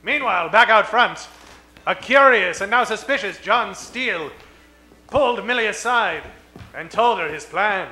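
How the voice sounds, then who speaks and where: A man's voice: high-pitched, expressive, unhurried, bright, forceful tone, soft background music, exaggerated voice. A man playing a melodramatic narrator of a comedic old-time radio play.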